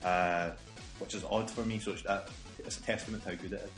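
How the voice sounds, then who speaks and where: A man's voice: deep voice, fairly even intonation, fast. Jokey man, echoey room. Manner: jokey, swearing, laughs often. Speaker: podcast co-host. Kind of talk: casual gaming podcast chat. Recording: echoey room with faint music.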